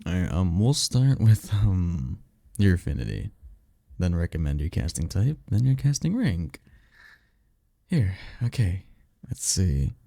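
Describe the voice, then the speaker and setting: A man's voice: low-pitched, big pitch swings, unhurried, creaky, pauses often, mellow, no echo, clean studio sound, heavily acted. A man playing a cocky, easygoing vampire mentor and former archmage in a slangy, offhand audio roleplay, teasing and swearing casually. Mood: casual, upbeat.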